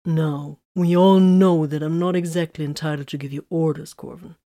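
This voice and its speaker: A woman voicing a stern, battered male soldier sergeant in a measured, commanding way. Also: low-pitched, fairly even intonation, mellow, no echo, studio quality.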